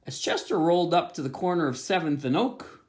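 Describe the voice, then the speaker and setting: A pastor reading a children's book aloud, with expressive storytelling. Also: male voice, fairly high pitch, fairly quick.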